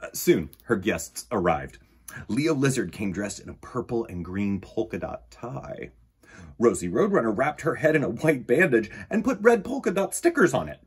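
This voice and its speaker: A man hosting storytime for children, reading a picture book aloud in an expressive, emphatic way. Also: medium pitch, normal speed, slightly rough. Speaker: children's storytime host and reader.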